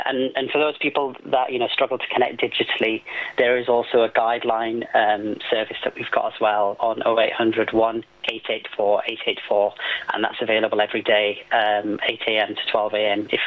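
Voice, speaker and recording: A man giving measured, explanatory answers as a doctor guest in a radio interview. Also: medium pitch. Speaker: GP and health campaign guest. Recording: muffled lo-fi phone-in sound.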